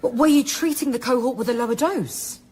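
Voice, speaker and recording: A woman's voice: on the high side, a little fast, a little mellow, slight background noise, natural voice. An actress playing a resourceful, anxious woman scientist in a naturalistic radio drama, conversational and matter-of-fact.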